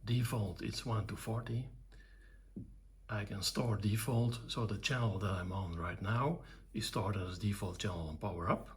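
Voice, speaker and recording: An older man giving an informal technical demonstration, explaining things in short, halting, measured phrases. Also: on the low side, steady tone, slight background noise. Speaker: electronics hobbyist presenting own project.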